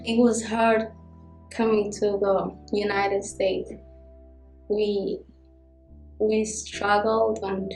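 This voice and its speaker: Soft, slow woman. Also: medium pitch, echoey room, low-quality recording. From Kenya. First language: Somali. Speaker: immigrant student.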